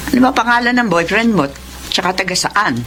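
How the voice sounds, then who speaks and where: A woman's voice: medium pitch, fairly quick, sharp tone, a little hiss or hum. A woman acting a caring, fretful middle-aged mother in a Filipino radio drama, exclamatory and motherly, with a Filipino accent. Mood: shocked, scolding.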